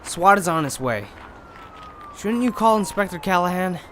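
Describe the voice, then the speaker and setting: A man's voice: high-pitched, expressive, normal speed. A man playing an anxious, dutiful police officer in an audio crime drama, talking urgently. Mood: urgent, businesslike. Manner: urgent, alarmed. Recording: faint outdoor noise with sirens.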